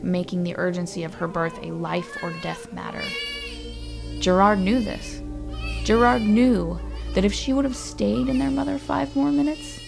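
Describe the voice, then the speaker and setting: A woman narrating a scripted audio story in a deliberate, literary storytelling manner. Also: on the low side, creaky.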